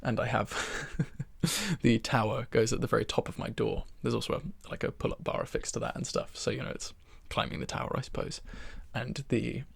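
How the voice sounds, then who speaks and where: A soft-spoken young man of twenty-one chatting ASMR-style from his bedroom, rambling and poking fun at himself. His voice is heavy with fry and breathy, sitting mid-range for a man. It is recorded close and bone dry. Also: medium pitch, steady tone, moderate pace, no room sound. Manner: rambling, self-deprecating, with soft laughs. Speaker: ASMR creator.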